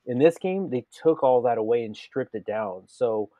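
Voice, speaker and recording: A man co-hosts a casual gaming podcast, his voice mellow and warm, sitting at mid pitch. He talks with eager enthusiasm, circling back and restarting his thoughts. The sound is dry and close, with no room around it. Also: expressive, normal speed, dry recording, dull audio. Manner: enthusiastic, rambling with many restarts. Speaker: podcast co-host.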